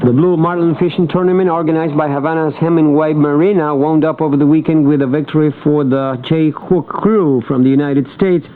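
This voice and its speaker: A man's voice: fairly high pitch, steady tone, fairly quick, few pauses, faint music behind. A man presenting scripted sports news on a radio broadcast, reading as a newscaster. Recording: tinny, band-limited shortwave radio broadcast. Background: shortwave radio reception.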